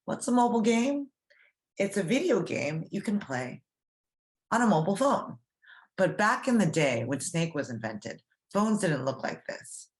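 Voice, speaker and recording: A woman's voice: medium pitch, very animated, a little slow. A woman reading aloud as a teacher for young children, explaining simply and putting questions to the listeners. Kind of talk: children's read-aloud lesson.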